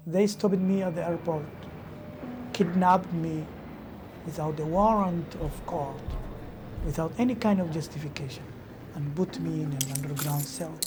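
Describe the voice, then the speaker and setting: A man from Somalia, a journalist, recalling his experiences in slow, halting testimony. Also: a little high, background noise. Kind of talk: documentary testimony. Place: United Kingdom.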